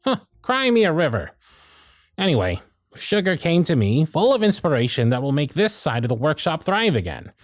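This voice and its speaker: Casting: male actor as gruff, fond elf boss for ASMR roleplay, sardonic, very lively intonation, dry close sound, music behind. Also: a little high, normal speed, no room sound. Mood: stern, sardonic. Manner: bossy, teasing, sardonic.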